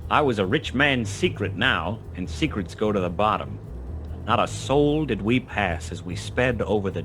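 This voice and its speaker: A man playing a hardboiled detective, narrating a noir radio play in a wry, terse, dramatic way. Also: fairly low pitch. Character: hardboiled male detective narrating his brush with death.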